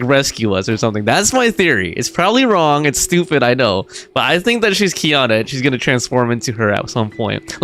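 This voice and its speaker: A man's voice: mid-range voice, fairly bright tone. A man livestreaming a game, chatting casually with viewers and reading their messages aloud.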